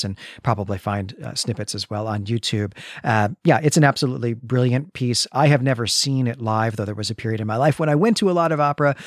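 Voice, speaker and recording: A man's voice: mid-range voice, no room sound. Bookish man, very dry sound. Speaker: podcast co-host. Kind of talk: casual, informed podcast discussion.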